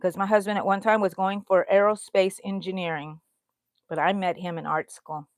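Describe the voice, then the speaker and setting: A woman's voice: mid-range voice, steady tone, moderate pace, no room sound. Chatty woman rambling on a livestream. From Houston, Texas. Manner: chatty, rambling, answers viewer comments aloud. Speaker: crochet channel host. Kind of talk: casual livestream chat while crocheting.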